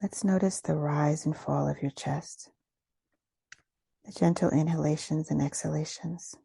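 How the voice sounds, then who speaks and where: A woman leading a guided meditation, giving gentle, soothing instructions with unhurried phrasing and pauses. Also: low voice, fairly even intonation, gravelly, breathy edge, dry recording.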